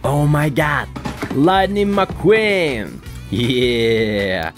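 Woman, kids' toy presenter, very slow delivery, exclamatory, music behind. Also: rumbling low, animated. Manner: exclamatory, short drawn-out announcements. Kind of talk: exclamatory toy showcase for children. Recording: loud music over the voice.

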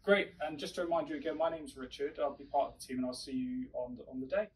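A man's voice: fairly high pitch, fairly even intonation, quick pace, lightly acted. A man playing a friendly, considerate mental health clinic worker, speaking politely and reassuringly in a training film. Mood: polite, upbeat. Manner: polite, reassuring, checks back what he heard. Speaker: mental health team staff member. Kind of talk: acted training film, phone and reception talk. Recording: distant mic with faint room noise.

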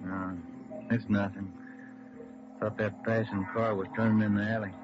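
An actor playing a plainspoken, weary police detective sidekick in a vintage radio drama, terse and conversational. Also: male voice, on the low side, little pitch movement, normal speed, dull audio, close to an everyday voice. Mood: uneasy. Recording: thin, hissy old radio recording.

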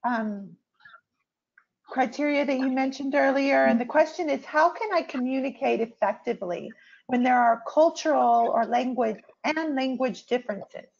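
A woman's voice: high-pitched, normal speed, gravelly, a little mellow. A woman moderating a webinar, reading audience questions aloud in an upbeat way. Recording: lo-fi video call audio.

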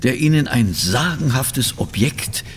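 An actor playing a wry, inquisitive gentleman investigator in a German audio drama, deliberate and mocking. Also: medium pitch, expressive, long reverb tail, slight background noise, natural voice.

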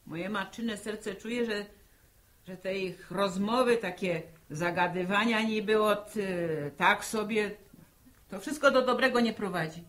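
A woman's voice: medium pitch, measured pace, a bit bright, close to an everyday voice. A woman playing a worried, bossy middle-aged farm mother in a radio serial, with a fussing, chiding manner. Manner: fussing, chiding, repeats words. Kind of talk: Polish radio serial acting, 1960.

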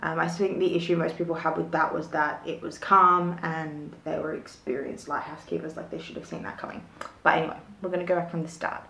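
A woman's voice: sits low, flat delivery, brisk, vocal fry, a bit bright. A young woman telling a true crime story to the camera in a casual, rambling way, full of fillers, reading parts aloud. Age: young adult. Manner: chatty, rambling, many fillers and likes. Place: small home room with shelves of collectibles. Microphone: fixed camera at desk. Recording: echoey room.

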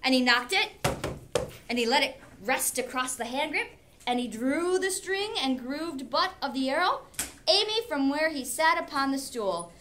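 A woman's voice: high-pitched, animated, normal speed, pushed and bright. A middle-aged woman teacher reading aloud theatrically in a classroom, with informal explanatory asides. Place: classroom, indoors. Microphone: camera a few metres away. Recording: classroom camera with background noise.

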